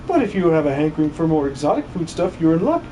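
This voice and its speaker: A man's voice: fairly high pitch, big pitch swings, fast talker, warm tone, slight background noise, voice pushed down, poor audio. A man playing a jolly older explorer in a game dub, speaking in a grandiloquent, avuncular way. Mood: jolly advising. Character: jolly, pompous older explorer advising youngsters. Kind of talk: hobby video game dub.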